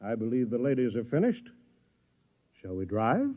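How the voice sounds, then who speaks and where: Cold, arrogant moneyed father, male actor, mid-pitched, needling, old radio play with narrow phone-like sound. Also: very animated, loud music behind the voice, lightly acted. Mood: taunting. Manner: condescending, deliberate, needling. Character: arrogant, rich middle-aged father, coldly belittling. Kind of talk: 1950s radio drama acting. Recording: thin, phone-like vintage radio recording.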